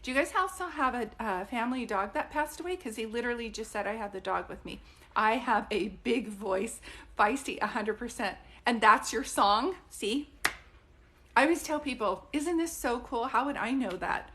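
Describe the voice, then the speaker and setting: Middle-aged woman, psychic on a casual live stream, chatty and fairly high-pitched, restarts her words as she goes. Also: normal speed, a bit bright. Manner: chatty, thinks aloud, stops to restart words. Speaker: psychic medium.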